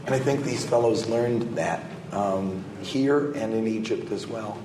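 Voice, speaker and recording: A hoarse man with a cold speaks on a panel, his voice raspy and rather low. He is recorded in an echoey hotel conference room with clear background noise. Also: unhurried. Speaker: panelist, scholar.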